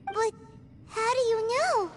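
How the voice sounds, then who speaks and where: A woman voicing a tiny, excitable childlike fairy companion in a video game, squeaky and prone to exclaiming. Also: takes it slowly, over music. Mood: alarmed. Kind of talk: cartoonish video game dialogue.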